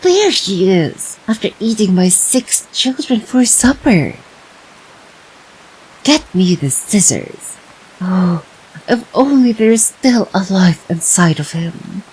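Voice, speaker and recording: A woman's voice: medium pitch, expressive, slow pace, a little mellow, breathy edge, heavily acted, poor audio. A woman reading a fairytale, voicing a grieving yet resolute mother goat. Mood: grieving, hopeful.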